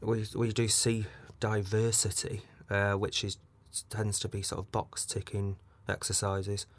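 A man with a lowish voice chats as a podcast guest. He hesitates a lot and laughs softly between thoughts. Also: monotone, a little slow. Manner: hesitant, laughs often, many fillers. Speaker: casting director guest. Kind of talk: relaxed podcast interview.

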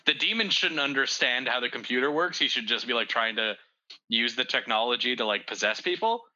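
Sharp, bright-voiced man in muffled lo-fi audio. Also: mid-range voice, expressive, a little fast. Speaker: script reader giving feedback. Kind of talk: casual creative feedback chat.

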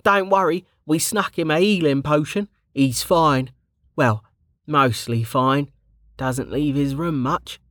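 A narrator voicing Kettle, a rough, cheeky male guard who talks in brash slang. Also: a little high, slow, a bit bright, dry recording, studio quality, voice pushed up.

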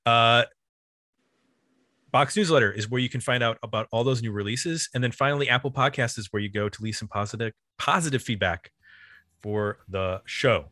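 Male podcast host, American, bright forceful voice, dry close sound, casual delivery. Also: mid-range voice, normal speed, no echo. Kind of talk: casual podcast chat and announcements.

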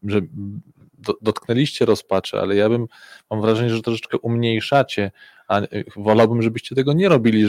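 Lowish, hesitant man in a dry recording. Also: darkish tone, no echo. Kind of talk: conversational commentary.

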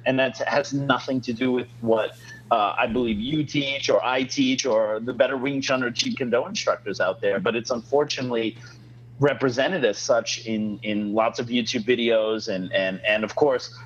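A man's voice: mid-range voice, fairly even intonation, normal speed, lo-fi sound, a little hiss or hum. A man hosting a casual podcast, a martial arts instructor, ranting frankly with many fillers.

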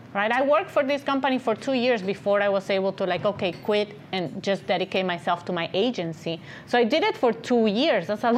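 A woman with a foreign accent, the encouraging guest speaker at a business event, chatting to the room over slight background noise. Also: fairly high pitch. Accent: non-native English accent. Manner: animated encouragement, often checks in with "right?". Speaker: guest speaker, agency founder and CEO. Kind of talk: conversational motivational business talk.

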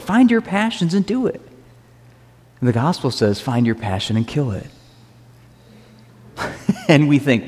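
A man preaching a sermon as pastor, earnest and emphatic, slowing down to stress key phrases. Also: mid-range voice, darkish tone. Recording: reverberant hall.

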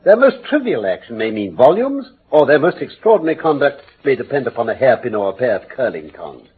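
An actor playing a brilliant, commanding English detective in classic radio drama, speaking with precise, formal, emphatic diction. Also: male voice, mid-range voice, big pitch swings, fairly quick, slight background noise, close to an everyday voice. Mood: analytical, animated. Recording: muffled old radio broadcast.

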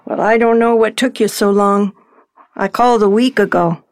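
An actress playing a polite, wistful elderly widow in an intimate audio drama, with a gentle, hesitant delivery. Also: female voice, medium pitch, normal speed, dry recording, clean studio sound, close to an everyday voice. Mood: polite, mildly fussy. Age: older.

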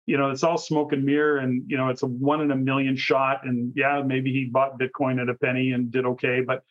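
A man's voice: medium pitch, flat delivery, a little fast. A man hosting a conversational interview podcast, asking long, rambling, discursive questions. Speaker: podcast host interviewing a guest. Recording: dull, lo-fi audio.

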